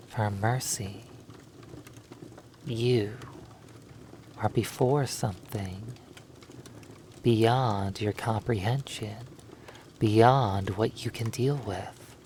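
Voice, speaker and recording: A man narrating a scripted sleep hypnosis story in deliberate, repetitive, hypnotic phrasing. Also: on the low side, mellow, a little hiss or hum.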